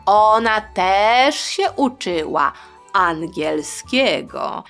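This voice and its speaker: A woman hosting a history podcast, telling stories in a playful, colloquial, expressive way for young listeners. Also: mid-range voice, extremely slow, soft background music.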